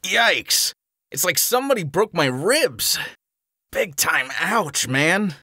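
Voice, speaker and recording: A man's voice: sits high, very animated, slow, sharp tone, slightly rough, no room sound, clean studio sound, full character voice. A man voicing a cocky, joking male hedgehog hero in a fan audio drama. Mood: breezy. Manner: breezy, joking.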